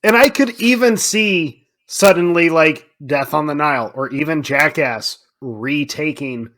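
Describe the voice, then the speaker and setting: Male podcast co-host, conversational and casual, speaks with animated emphasis. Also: a little high, measured pace, a little hiss or hum. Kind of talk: casual film discussion.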